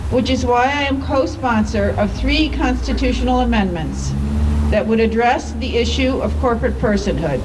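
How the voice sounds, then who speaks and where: Higher-voiced woman reading aloud, distant and noisy. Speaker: activist reading statements aloud at a public event. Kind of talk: public read-aloud of formal letters. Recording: distant microphone, clearly noisy ambience.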